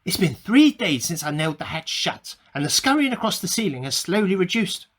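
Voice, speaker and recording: A man acts out a paranoid amateur scientist in a radio play. His voice is rough-edged and swings widely in pitch. He delivers his diary with agitated melodrama. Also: very animated, brisk, a bit bright, full character voice. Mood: grimly scheming. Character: paranoid male amateur scientist at war with hamsters.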